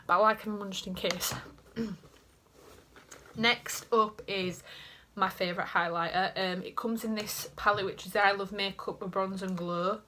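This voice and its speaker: A woman's voice: medium pitch, steady tone, unhurried, sharp tone, slight background noise. A young woman with a Yorkshire accent, chatting casually to camera in her bedroom, rambling with self-mocking asides. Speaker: beauty vlogger. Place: small bedroom indoors. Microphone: camera in front at desk height.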